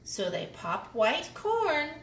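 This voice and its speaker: Woman reading slowly and animatedly to kids. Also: sits high. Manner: slow, animated, pausing and explaining to children. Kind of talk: expressive picture-book read-aloud for kids. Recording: roomy echo with faint background noise.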